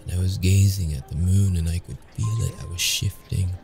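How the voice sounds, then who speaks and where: A deep-voiced, brooding male vampire, acted by a man for an ASMR roleplay, wounded yet seductive. He speaks in a dark, mellow tone with heavy gravel, keeping it intimate and guarded. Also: fairly even intonation, faint music behind. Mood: haunted, wondering. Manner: slow, halting, intimate delivery. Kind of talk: ASMR audio roleplay acting.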